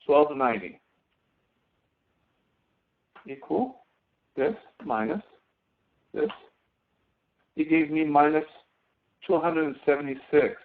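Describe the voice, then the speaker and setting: A man's voice: on the high side, unhurried, long pauses. A man instructing in a screen-recorded tutorial, explaining step by step and thinking aloud as he works. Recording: tinny phone-like narrow-band audio.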